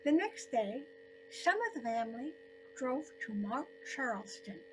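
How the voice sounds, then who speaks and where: An elderly woman narrating her own scripted personal story in deliberate phrases with frequent pauses. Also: high voice, big pitch swings, faint music behind, low-quality recording. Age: 70s or older.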